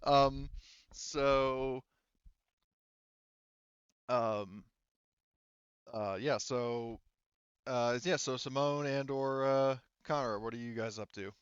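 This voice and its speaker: A man's voice: medium pitch, fairly even intonation, extremely slow, long pauses, clean tone, dry recording, dull audio. A man acting as game master, narrating and chatting casually and informally, with some swearing.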